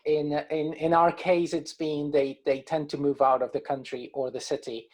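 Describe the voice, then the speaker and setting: Man, hesitant and halting. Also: a little high, level tone, a little mellow. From Caribbean coast of Colombia. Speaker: band musician being interviewed. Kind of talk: casual interview chat.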